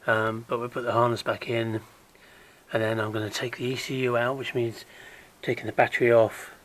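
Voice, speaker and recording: A man with a croaky voice gives a casual, hands-on how-to while working on an engine in a garage, chatting along and dropping to a mutter as he works. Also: medium pitch, flat delivery, a little fast, fairly bright tone. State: croaky, recovering from illness. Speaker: DIY car repair vlogger.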